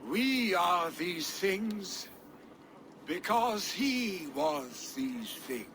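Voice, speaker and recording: Young Norse chief in mourning, slow-spoken, a little high, noisy game audio. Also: male voice, sing-song, full character voice. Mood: solemn. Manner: solemn funeral speech, then heavy and drunk. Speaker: new jarl, son of the dead jarl.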